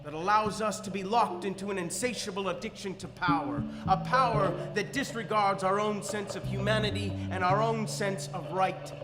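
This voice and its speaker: A man playing a calm revolutionary who delivers a solemn spoken-word monologue in a slow cadence, as a radio voice in a story. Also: high-pitched, lightly acted. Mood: calm, accusing. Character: calm male revolutionary speaking slowly over the radio. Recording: long hall reverb, music behind. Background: instrumental music.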